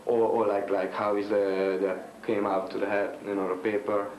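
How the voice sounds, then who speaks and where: A man from Hungary, a Hungarian speaker, talking in halting broken English in a casual interview. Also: lowish voice, level tone, darkish tone. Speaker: b-boy crew member. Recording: echoey room with music behind.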